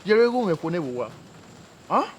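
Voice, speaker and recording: A man's voice: high-pitched, very animated, moderate pace, rough recording. A man with a Nigerian accent playing a cautioning, brotherly friend in a screen drama. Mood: concerned. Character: cautioning male friend giving brotherly advice. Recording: location sound with faint noise.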